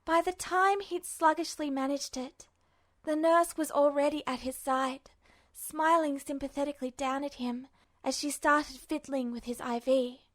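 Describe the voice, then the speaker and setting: Woman reading a story like an audiobook, pretty high voice, slow and measured w dramatic pauses. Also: steady tone. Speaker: amateur audiobook reader. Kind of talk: scripted fiction narration, podfic.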